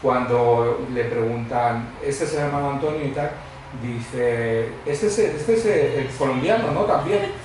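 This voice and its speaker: A middle-aged or older man gives a personal testimony to a church congregation in a conversational, expressive, digressive way, telling humorous anecdotes. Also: mid-range voice, a little slow. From Madrid, Spain. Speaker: guest preacher giving testimony. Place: church hall before a congregation. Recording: very echoey hall, distant mic, faint noise.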